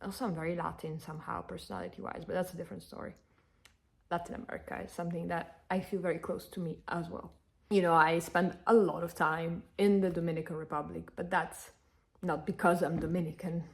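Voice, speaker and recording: A woman in her thirties from Northern Italy, a native Italian speaker, chatting to camera in an animated, emphatic way, answering followers' questions. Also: lowish voice, fairly quick, creaky. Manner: animated, emphatic, repeats herself. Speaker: content creator. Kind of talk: casual Q&A chat to camera.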